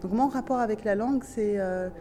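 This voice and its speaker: A woman from Tunisia whose first language is Tunisian Arabic, speaking French with a light Tunisian accent and a slightly nasal tone, giving thoughtful, hesitant interview answers with many pauses and restarts. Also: mid-range voice, noisy background.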